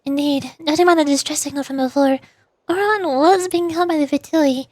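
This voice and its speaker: A woman voicing a proud, serious female tracker from a fantasy game, measured but easily irritated. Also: sits high, darkish tone, no echo, studio quality, natural voice.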